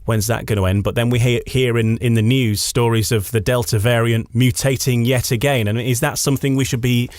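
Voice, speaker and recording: A male radio host in interview mode, speaking at a middling pitch. His phrasing winds along conversationally, and the sound is dry with little room on it. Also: fairly even intonation, brisk, few pauses, darkish tone, dry recording.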